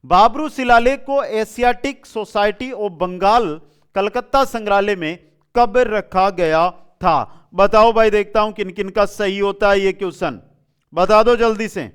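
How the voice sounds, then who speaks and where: A man's voice: high voice, a little slow, fairly bright tone, clear, resonant tone. A man teaching a live quiz lesson, reading questions and answers aloud, repeating them and prodding students to respond. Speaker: online exam-coaching teacher.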